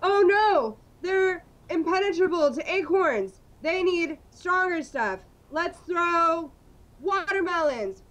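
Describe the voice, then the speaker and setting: A frantic male superhero in a comedy improv bit, squealing in alarm, as a woman puts him on. His voice sits very high. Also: lively intonation, slow, fairly bright tone, exaggerated voice.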